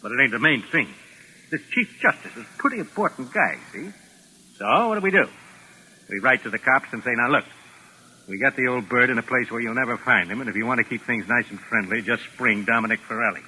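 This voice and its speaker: A man's voice: medium pitch, brisk, bright, forceful tone. A man acting a tough, bossy American gangster in a 1940s radio drama, with slangy, curt, menacing talk. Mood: boastful menace. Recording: noisy vintage 1940s radio recording.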